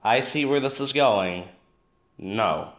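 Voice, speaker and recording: A man's voice: medium pitch, expressive, slow, a bit bright. A man playing a sarcastic, reluctant teenage boyfriend, with dry and skeptical delivery.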